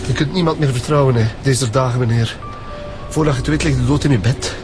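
Man as fanatical Flemish concierge, ominous and mid-pitched, with loud music behind. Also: quick pace, lightly acted. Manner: ominous, rambling, swooping pitch. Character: doom-preaching religious fanatic male concierge. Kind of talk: radio drama acting. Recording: music under dialogue.

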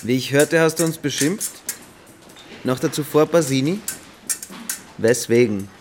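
Radio drama: male actor as menacing boarding-school ringleader, medium pitch, superior and deliberate, faint ambience behind. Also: a little mellow, slight background noise, natural voice. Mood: cold, accusing. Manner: measured, threatening, superior. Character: menacing, condescending teenage boarding-school bully. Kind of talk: German radio play acting.

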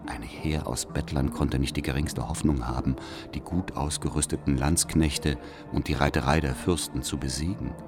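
Very deep-voiced servile spy. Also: male voice, fairly even intonation. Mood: servile, calculating. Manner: formal, deferential, measured. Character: devout, servile, calculating papal spy. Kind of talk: letter read as radio drama monologue. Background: faint music.

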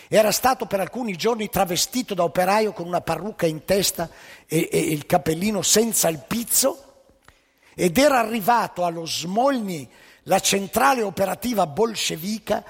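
A man's voice: sits high, gravelly, slightly rough, big-room sound. A man telling a story on stage, emphatic and ironic, with pointed rhetorical questions. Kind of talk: theatrical storytelling monologue.

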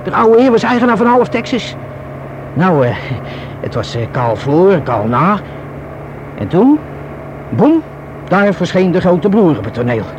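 A man's voice: high voice, sing-song, measured pace, pauses often, dull audio. An actor playing a wary, rueful young man confiding in the police in a radio drama, colloquial and hesitant. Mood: frank, scornful. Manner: hesitant, colloquial, self-reproaching. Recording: noisy old radio play recording.

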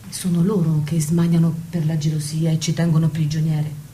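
Wry, defiant maid-confidante played by an actress, radio drama, dark soft tone, knowing delivery, faint noise. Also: female voice, low voice, flat delivery, moderate pace, lightly acted. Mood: bitter, defiant. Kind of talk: stage-play dialogue and monologue.

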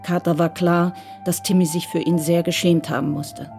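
A woman reading scripted narration for a radio crime drama in a composed, measured way. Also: on the low side, little pitch movement, vocal fry, warm tone, soft background music.

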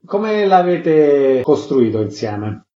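A man's voice: fairly high pitch, very animated, slow, mellow. A man hosting a radio programme, delivering prepared interview questions and announcements. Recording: slightly dull lo-fi radio sound.

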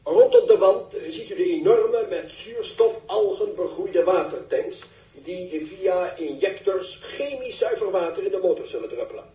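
An eager male reporter in an old science fiction radio play. His voice is high and soft-edged, explaining everything in florid, dramatic detail. It sounds echoey and set back from the microphone. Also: very animated, unhurried, distant mic, lo-fi sound, heavily acted. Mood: excited commentary. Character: eager male television reporter giving live spaceship commentary. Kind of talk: 1960s radio drama live commentary.

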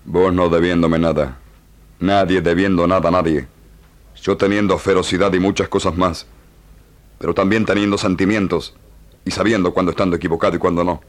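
Male actor as fierce but just Indian chief, very deep voice, solemn, broken Spanish, radio drama. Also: fairly even intonation, brisk, natural voice. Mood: solemn, proud. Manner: solemn, speaking broken Spanish in gerunds and infinitives. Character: proud, ferocious yet just Indian chief of the Pampas. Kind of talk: old radio drama acting.

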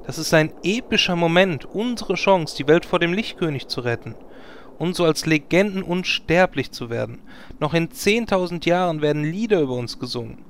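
A man plays an earnest hero with a grandiose streak. His voice is on the high side. The parody radio play has faint noise behind it. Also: animated, normal speed. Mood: pleading, grand. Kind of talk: audio drama parody.

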